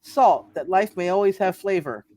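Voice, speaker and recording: Man voicing a high-pitched devoted young wife. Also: animated, moderate pace, mellow, dry recording. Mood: warm, ceremonial. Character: Mary, sweet devoted young small-town wife. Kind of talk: hammed-up live radio play reading.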